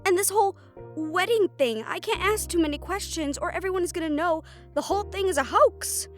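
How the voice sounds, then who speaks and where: A woman playing an overworked, sharp-tongued but caring siren-banshee sorceress, venting emotionally in a dramatic audio roleplay. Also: very high-pitched, fairly quick, faint music behind. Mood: stressed, worried. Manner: emotional venting, sarcastic and sweary, with heavy sighs.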